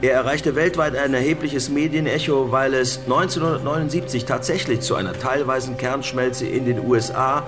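Man acting a radio-drama news anchor: formal, measured, polite, mid-pitched, over prominent music. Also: natural voice. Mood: serious, inquiring. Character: composed male news anchor questioning experts on a monster disaster. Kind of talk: scripted radio-drama newscast interviewing.

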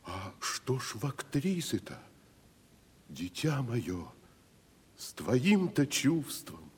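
An actor playing a bombastic provincial tragedian who declaims pompously in a radio stage comedy. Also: male voice, mid-range voice, sing-song, takes it slowly, slightly rough, exaggerated voice. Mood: grand, declaiming. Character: grandiloquent provincial tragedian actor, a bombastic elder brother figure. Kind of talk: 1970s Russian stage comedy radio acting. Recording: echoing hall, old radio drama.

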